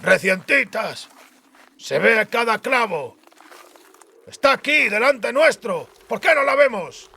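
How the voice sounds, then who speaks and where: A man's voice: extremely high pitch, animated, slow, vocal fry, bright, forceful tone, slightly rough, pitched above the performer's own voice, full character voice. A man voicing an anxious, watchful tracker who speaks warily and precisely in an audiobook. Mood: puzzled. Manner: murmuring, wary.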